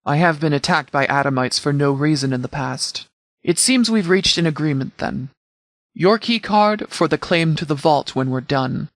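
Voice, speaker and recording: A man playing a composed, pragmatic military commander in a video game, speaking in a formal, measured, tactical way. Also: a little high, lively intonation, fairly bright tone, no room sound. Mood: firm, measured. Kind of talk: video game dialogue acting.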